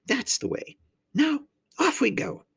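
Storybook faun: very high, swooping pitch, courteous, kindly, stammering and effusive. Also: male voice, big pitch swings, takes it slowly, vocal fry, a bit bright, exaggerated voice. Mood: inviting, eager. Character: polite, flustered, kindly faun, high fluttery voice.

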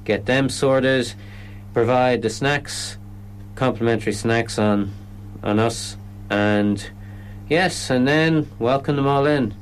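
A man's voice: mid-range voice, slow pace, a little hiss or hum. An Irish man explaining his comedy event in a radio interview, often stopping and restarting sentences. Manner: hesitant, lots of restarts.

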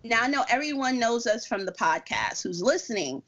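A woman's voice: fairly high pitch, expressive, moderate pace, a bit bright, highs cut off. A woman co-hosting a podcast in casual chat, asking rambling, informal questions with salty language. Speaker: podcast co-host.

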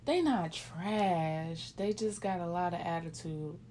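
A thirty-year-old woman with a slightly low voice, chatting easily with her co-host. She talks in a relaxed, friendly way, even when she reads out a local business spot. Faint noise sits in the background. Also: slow pace. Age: 30s. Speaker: podcast co-host. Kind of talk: casual chat.

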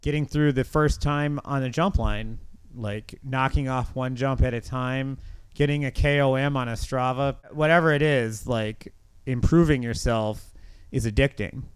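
A man's voice: mid-range voice, fairly even intonation, a little slow, clear, resonant tone, no room sound. A middle-aged man hosting a podcast, telling stories in a casual, earnest and reflective way. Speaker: podcast host.

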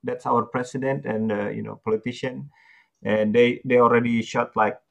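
Comedian, halting joking English. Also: male voice, mid-range voice, steady tone, gravelly. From Indonesia. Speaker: guest comedian. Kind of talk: casual podcast chat.

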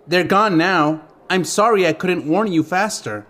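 Man as small female fairy, feisty, caring, higher pitch, storybook fantasy. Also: unhurried, fairly bright tone. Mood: hurried, reassuring. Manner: earnest, spirited.

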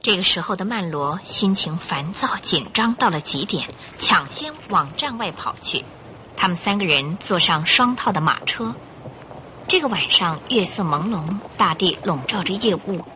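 A woman narrator reading a scripted radio drama in an expressive, dramatic storytelling manner. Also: lowish voice, normal speed, slight background noise, low-quality recording.

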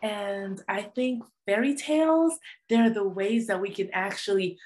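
Woman podcast host, medium-pitched. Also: unhurried, darkish tone. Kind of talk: casual conversational interview.

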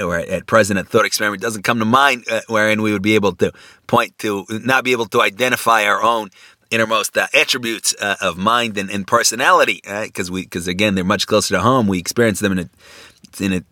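A man's voice: lowish voice, pushed and bright, no echo, studio quality. A man giving an unscripted philosophical lecture, thinking aloud with many fillers and restarts and asking rhetorical questions. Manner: poses rhetorical questions, asks "right?".

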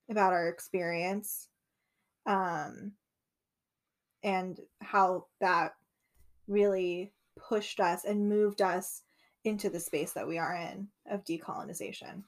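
A young woman co-hosting a casual conversation, talking freely with frequent "like" fillers. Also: mid-range voice. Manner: chatty, lots of "like" fillers. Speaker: podcast co-host.

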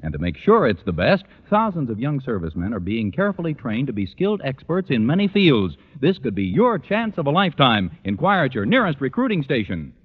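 Phone-like old radio sound, American man, husky, animated commercial announcer, 1940s ad read. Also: mid-range voice, fairly quick, few pauses. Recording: tinny, narrow old radio recording.

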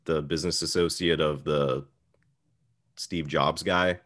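A man's voice: extremely low pitch, steady tone, a little slow, fairly bright tone. A man hosting a podcast, talking through films in a casual, conversational way. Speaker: podcast host. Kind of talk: casual film discussion.

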